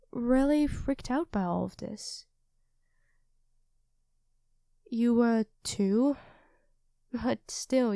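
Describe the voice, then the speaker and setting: A woman's voice: on the high side, darkish tone, no room sound, clean studio sound. A young woman playing an affectionate, easily flustered girlfriend in an ASMR roleplay, speaking expressively and intimately to her partner. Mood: apologetic, tender. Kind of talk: ASMR roleplay acting addressed to the listener.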